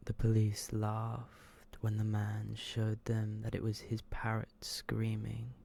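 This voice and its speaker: Hushed, gravelly man reading ASMR horror. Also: fairly low pitch, monotone, a little hiss or hum. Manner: hushed, slow and deliberate, often dropping into whisper. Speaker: ASMR video creator and host. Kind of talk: ASMR, soft-spoken reading of short horror stories.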